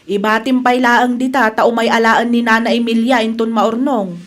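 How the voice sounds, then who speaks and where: A woman's voice: a little high, fairly even intonation, normal speed, natural voice. A woman with a Filipino Ilocano accent playing a kind, generous storekeeper wife in a radio comedy-drama. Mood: considerate.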